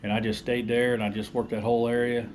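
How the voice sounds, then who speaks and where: A man's voice: mid-range voice, flat delivery, fast. A man, chatting casually and plainly for a fishing vlog, in a folksy way. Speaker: amateur tournament angler and vlogger. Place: outdoors on a gravel lot, then inside a large garage. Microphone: action camera at arm's length. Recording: noisy handheld camera audio, ambient noise.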